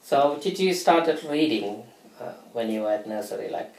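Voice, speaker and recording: A man's voice: mid-range voice, lively intonation, moderate pace, darkish tone. A man interviews a child as an educator, speaking with phrasing and encouraging him often with "great" and "brilliant". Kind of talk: interview questions. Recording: roomy, from a distance.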